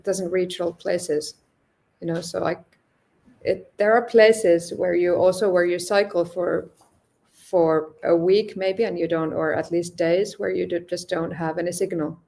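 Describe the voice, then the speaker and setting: Low-voiced woman from Finland, hesitant. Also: darkish tone. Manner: hesitant, many restarts, thoughtful. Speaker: podcast guest, long-distance cyclist. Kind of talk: casual interview chat.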